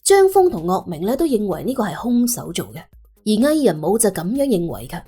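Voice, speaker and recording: A woman's voice: medium pitch, big pitch swings, a little fast, warm tone, breathy edge, soft background music. A woman with a Cantonese accent narrating a story in a colloquial, dramatic storytelling manner. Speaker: audiobook narrator.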